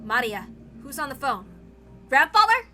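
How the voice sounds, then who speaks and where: Rattled young man, female performer: stammering, hot-tempered, sharp voice that jumps around in pitch. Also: very animated, normal speed, soft background music, exaggerated voice. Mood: alarmed. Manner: stammering, urgent.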